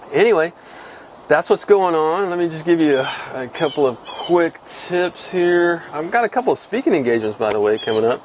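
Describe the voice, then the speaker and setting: A man's voice: mid-range voice, slight background noise, low-quality recording. A middle-aged man from Texas hosting a casual fishing report to camera outdoors, rambling with many fillers and restarts. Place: outdoors in front of a cluttered shed wall.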